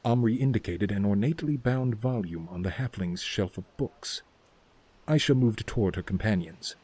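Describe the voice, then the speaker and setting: A man's voice: on the low side, moderate pace, darkish tone, breathy edge. A man reading scripted narration for a fantasy story as its narrator.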